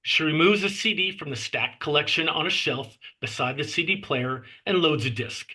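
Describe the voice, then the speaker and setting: A man reading screenplay stage directions as narrator, with measured phrasing and clipped scene headings. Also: a little high, pushed and bright.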